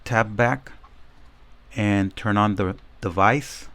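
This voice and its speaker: A man's voice: fairly low pitch, slow pace, a bit bright. A man giving a casual, unscripted hands-on demonstration as a product reviewer, narrating each step and often repeating himself.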